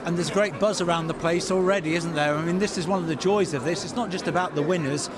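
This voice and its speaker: A male podcast host with a high-pitched voice chats casually with guests on location. A loud crowd buzzes all around him in a busy public venue. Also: fast. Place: busy theatre venue before an awards show. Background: loud crowd chatter.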